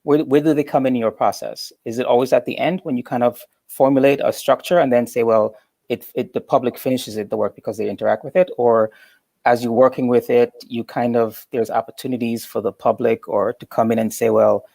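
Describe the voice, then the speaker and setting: A man's voice: medium pitch, fairly even intonation, brisk, gravelly, warm tone, dry recording. A man interviewing an artist, asking long, hesitant questions full of fillers and restarts. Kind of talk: interview conversation.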